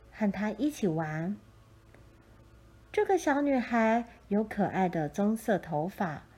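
Slow, mellow woman storyteller. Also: medium pitch, muffled recording. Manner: gentle, measured. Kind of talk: scripted children's picture-book narration.

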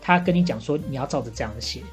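A woman's voice: a bass voice, lively intonation, rapid-fire, soft background music. A woman with a Taiwanese Mandarin accent chatting casually as a podcast host, playing a bartender who gives analytical, bantering advice. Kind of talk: casual chat, giving advice.

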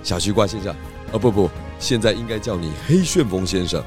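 Man as a low-voiced, confident pig detective. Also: expressive, a little fast, over music, close to an everyday voice. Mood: calm, lecturing. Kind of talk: children's audio drama acting.

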